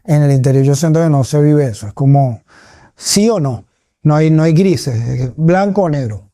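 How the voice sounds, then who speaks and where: A man's voice: on the high side, lively intonation, normal speed, dark tone, dry recording, clean studio sound. A man with a Caracas Venezuelan accent giving reflective, conversational answers as a comedian being interviewed.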